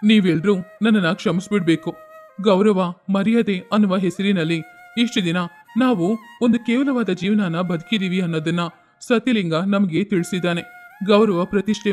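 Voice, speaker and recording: A man acts a once-proud village headman, now humbled, in a moral storybook. His voice is high for a man and earnest, with faint music behind. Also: moderate pace, darkish tone, lightly acted. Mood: remorseful.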